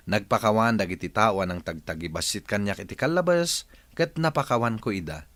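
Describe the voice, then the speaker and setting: A man with an Ilocano Filipino accent hosting a radio drama, reading scripted narration in a measured, emphatic, expressive way. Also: mid-range voice, no echo.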